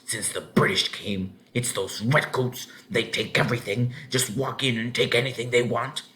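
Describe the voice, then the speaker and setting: A man voicing a gruff, cross, bony farmer in a storybook, curt and stern. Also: medium pitch, fairly even intonation, moderate pace, pushed and bright, natural voice. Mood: angry, indignant.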